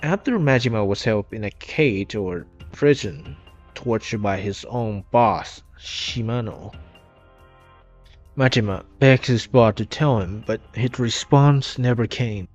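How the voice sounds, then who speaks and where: Guy with a lowish voice telling a game story, loose grammar, cheap muffled mic, music going behind him. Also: slow. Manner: non-standard grammar with dropped verb endings. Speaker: video narrator. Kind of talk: informal scripted story retrospective narration. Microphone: inexpensive microphone.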